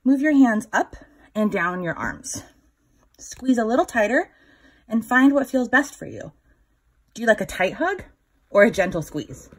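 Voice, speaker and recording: A woman reading a picture book aloud to young children in a gentle, instructive way, with a slightly husky voice. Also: fairly high pitch, sing-song. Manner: gentle, instructive, pausing to put questions to the child listener. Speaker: children's story reader.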